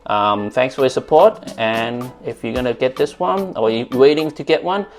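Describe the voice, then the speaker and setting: A man's voice: medium pitch, lively intonation, normal speed. Hong Kong-accented man, casual unboxing vlogger, filler-heavy chat, faint music under. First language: Cantonese. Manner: chatty, many fillers and restarts.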